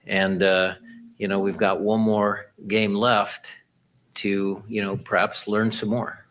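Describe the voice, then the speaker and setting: A man speaking as head coach at a press conference, with a low voice and a flat, even delivery. He chooses his words steadily and shows little swing in pitch. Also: takes it slowly, slight background noise.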